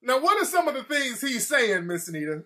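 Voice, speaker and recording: A man hosting a call-in show, blunt and joking, firing off quick questions and laughing often. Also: extremely high pitch, very animated, noisy background. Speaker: host. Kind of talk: casual chat.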